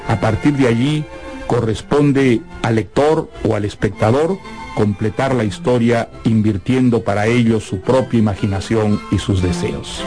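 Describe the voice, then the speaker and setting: Formal male literary presenter over music. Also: medium pitch. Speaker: literary presenter introducing a radio drama. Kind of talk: scripted literary commentary.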